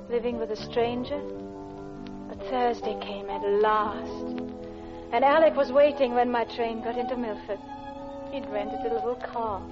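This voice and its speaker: A woman's voice: fairly high pitch, close to an everyday voice. An actress playing a guilty, lovelorn married Englishwoman in an old radio drama, with a clipped, genteel English accent and wistful restraint. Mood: sorrowful. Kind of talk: old radio drama acting, first-person narration. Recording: muffled old radio recording, music behind.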